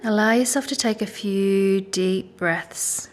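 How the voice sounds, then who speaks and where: A woman leading a guided meditation in a soft, gentle, soothing manner with unhurried pauses. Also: mid-range voice. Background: music.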